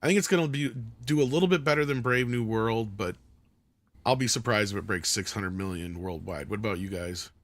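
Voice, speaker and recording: A man's voice: on the low side, fairly quick. A man hosting a talk show, chatting loosely and reading out viewer comments with the odd swear word. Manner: loose and conversational, swears casually. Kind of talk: casual chat.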